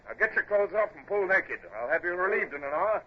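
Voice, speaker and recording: An actor playing a resolute British naval captain of the Napoleonic era in an old radio adventure drama. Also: male voice, high-pitched, expressive, fairly quick. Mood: rousing. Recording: dull, noisy old radio drama recording.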